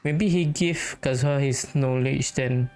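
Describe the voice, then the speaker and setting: A woman's voice: extremely low pitch, a little slow, dark tone, slight background noise. A woman giving casual commentary as she follows a video game.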